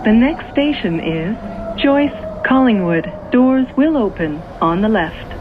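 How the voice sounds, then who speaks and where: A woman reading scripted transit announcements in a measured, clearly segmented way, as a train announcer. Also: on the high side, animated. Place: played over speakers inside a train. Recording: tinny band-limited speaker, noisy train interior. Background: train and vehicle noise.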